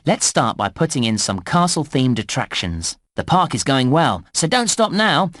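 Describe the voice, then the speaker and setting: A man playing a cheerful, encouraging theme park guide in a game, giving scripted instructions in a clear presenter's delivery. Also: mid-range voice, big pitch swings, normal speed, fairly bright tone, no echo, studio quality. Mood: upbeat, instructive. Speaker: game narrator and tutorial guide.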